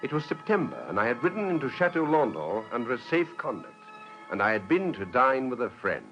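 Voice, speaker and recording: A man's voice: mid-range voice, sing-song, brisk, a bit bright. An actor playing a headstrong French nobleman who tells of his youthful adventure in an articulate, dramatic radio-drama style. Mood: reflective, proud. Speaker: lead actor and narrator. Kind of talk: mid-century radio drama narration and acting. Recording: slightly dull vintage radio recording, music behind.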